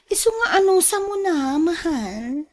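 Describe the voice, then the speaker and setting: An actress with an Ilocano Filipino accent playing a flirtatious, possessive mistress in a coaxing radio drama style. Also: female voice, very high voice, very animated, measured pace, a little mellow, full character voice. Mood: coaxing, playful. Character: flirtatious, possessive mistress clinging to married lover.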